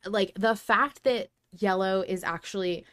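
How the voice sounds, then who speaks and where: A woman hosting a casual fan discussion podcast, theorizing in an animated way with some swearing. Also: medium pitch, unhurried, no room sound, clean studio sound. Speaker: podcast host.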